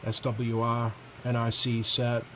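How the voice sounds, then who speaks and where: A man's voice: medium pitch, slow pace. Casual man demonstrating gear over background noise. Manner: informal, hesitant, thinking aloud while handling controls. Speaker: product reviewer. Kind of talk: casual hands-on product demo. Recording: clear steady background noise.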